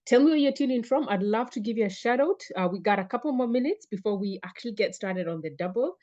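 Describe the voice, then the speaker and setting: A woman hosting a live webinar, warmly greeting viewers by name and repeating her phrases. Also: fairly high pitch, animated, fast, lo-fi sound. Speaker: webinar host and fitness coach. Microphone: computer mic on a video call.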